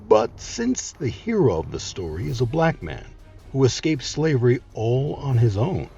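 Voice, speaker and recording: Male history narrator, lively swooping delivery, emphatic phrasing, dry dull lo-fi recording, music underneath. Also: on the low side. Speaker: narrator and host of a history show. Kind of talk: scripted storytelling narration.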